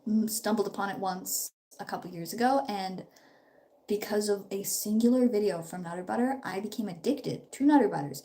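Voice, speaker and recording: Young woman live streaming while doing makeup, a bit under the weather, talks fast and loose, circles back on herself. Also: medium pitch, mellow. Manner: chatty, upbeat, rambling with quick restarts. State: slightly sick. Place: home, her parents' house.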